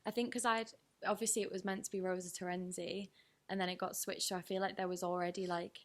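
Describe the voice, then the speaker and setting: Young lass, lowish croaky voice, dj just chatting away, bit slangy. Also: female voice, flat delivery, fast. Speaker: DJ being interviewed. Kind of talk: casual chat.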